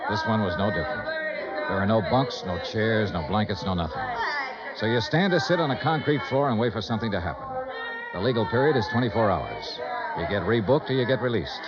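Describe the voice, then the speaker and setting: An actor playing a tough, world-weary insurance investigator in a 1950s radio drama, with an American accent and clipped delivery. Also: male voice, lowish voice, expressive, fairly quick, over a noisy crowd, close to an everyday voice. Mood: hard-boiled, matter-of-fact. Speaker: lead actor and narrator. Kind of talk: 1950s radio detective acting, first-person narration. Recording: dull vintage 1950s radio recording, music behind.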